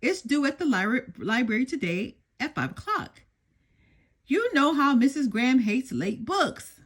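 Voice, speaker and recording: A woman's voice: sits high, sing-song, unhurried, full character voice. A woman voicing an anxious, fretful little girl who pleads in a children's storybook. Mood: anxious, pleading.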